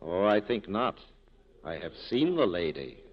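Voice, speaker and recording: An actor playing a wryly corrupt police captain with an urbane, teasing, courtly manner, in 1940s radio style. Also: male voice, low-pitched, sing-song, measured pace, full character voice. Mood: wry, self-assured. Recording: muffled 1940s radio broadcast recording.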